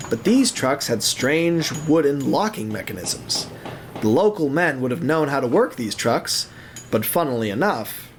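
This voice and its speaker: A man's voice: mid-range voice, a little hiss or hum. A man narrating an audio drama in a scripted, dramatic storybook style.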